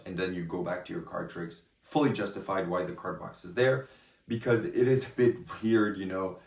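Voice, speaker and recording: Hoarse low-voiced man reviewing magic. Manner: chatty, rambling, often checks in with "okay?". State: hoarse, voice partly lost. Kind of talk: casual product review to camera. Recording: echoey room, a bit far from the mic.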